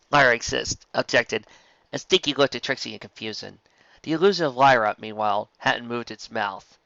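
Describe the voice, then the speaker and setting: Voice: medium pitch, vocal fry, pushed and bright, no room sound, dull audio. A narrator reading scripted fan fiction as an audiobook, in a storytelling style.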